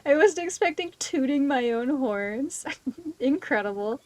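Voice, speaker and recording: High-voiced woman livestreaming a game. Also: animated, a little slow, darkish tone, dry recording. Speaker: streamer. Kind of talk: casual livestream game commentary.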